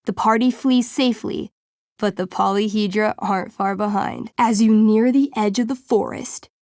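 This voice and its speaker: Young female game master, played by a woman: theatrical fantasy narration, unhurried and suspenseful, mid-pitched, close dry sound. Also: fairly even intonation, a little mellow, no room sound, natural voice. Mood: playfully dramatic. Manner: theatrical, suspenseful, deliberate storytelling. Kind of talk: dramatic tabletop role-playing narration.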